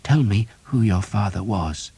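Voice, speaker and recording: A man's voice: lowish voice, expressive, unhurried, mellow, slightly rough, voice pushed up, heavily acted. A man voicing a grieving young man who asks urgent, pleading questions.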